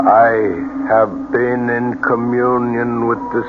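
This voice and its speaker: Casting: man to play frail mystic medium, portentous, halting and slow; noisy vintage radio sound. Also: medium pitch, monotone, natural voice. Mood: solemn, strained. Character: solemn, frail holy man, fake spirit medium in trance. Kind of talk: old-time radio drama acting. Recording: noisy, tinny old radio broadcast.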